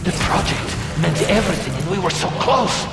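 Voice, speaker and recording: A man's voice: high voice, animated, fairly quick, creaky. An actor playing a shaken, bitter male scientist and sole survivor, delivering a grave video game audio log. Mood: bitter, regretful. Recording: echoing hall, loud music and effects behind.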